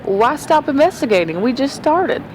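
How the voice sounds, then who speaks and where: A woman's voice: very animated, normal speed. An actress playing a bold, inquisitive lady amateur sleuth on a train in an audio drama, direct and questioning. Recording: clear vehicle-like background rumble.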